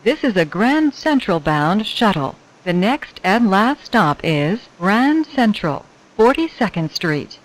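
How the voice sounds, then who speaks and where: A woman reading scripted transit announcements in a formal, clearly phrased manner. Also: medium pitch, sing-song, slow, hardly any pauses, poor audio.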